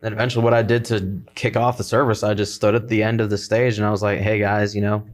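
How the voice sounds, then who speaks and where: A man in his twenties, a worship leader and college student, chatting casually on a podcast, relaxed and rambling with many fillers. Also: on the low side, fast talker. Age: 20s.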